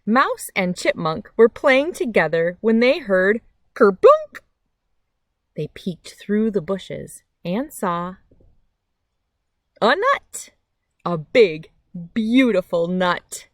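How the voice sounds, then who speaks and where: Female storybook reader, friendly children's host, very animated intonation, dry sound. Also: medium pitch, slow pace, pauses often, dry recording. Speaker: read-aloud host. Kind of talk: children's storybook read-aloud with casual chat. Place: outdoors by a meadow.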